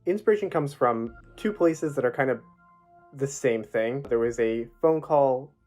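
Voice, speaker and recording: A man's voice: mid-range voice, measured pace, a little mellow. A man talking as a playwright in a casual, keen promotional interview about his own work. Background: music.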